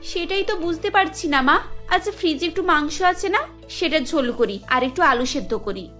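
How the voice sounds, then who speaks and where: A woman's voice: high voice, lively intonation, normal speed, fairly bright tone. A woman voicing a sweet, cheeky young daughter-in-law in a cartoon, politely and pertly explaining herself. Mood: puzzled. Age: young adult. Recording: dull audio over background music.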